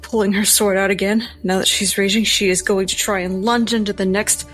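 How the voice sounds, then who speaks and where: A woman's voice: medium pitch, flat delivery, brisk, a bit bright, rough recording. Woman playing a tabletop game over music. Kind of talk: describing character actions in third person. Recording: background music under the voice.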